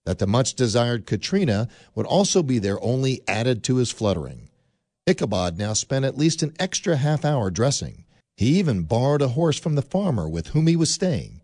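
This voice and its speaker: A man narrating a classic tale in a measured storytelling manner. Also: mid-range voice, very animated, darkish tone, no echo, studio quality. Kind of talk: scripted narration.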